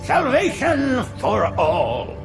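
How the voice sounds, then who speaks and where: A man's voice: huge pitch swings, very slow, music in the background, full character voice. A man playing a pious, zealous alien prophet in a video game, preaching pompously. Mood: exalted. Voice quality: high, creaky.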